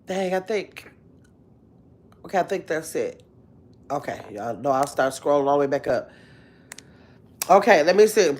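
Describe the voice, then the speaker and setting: Chatty woman in her fifties livestreaming. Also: low voice. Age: 50s. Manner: chatty, animated, drawn-out exclamations. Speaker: livestream host. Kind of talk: casual livestream chat reading comments. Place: bedroom at home, indoors. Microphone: phone held close at arm's length.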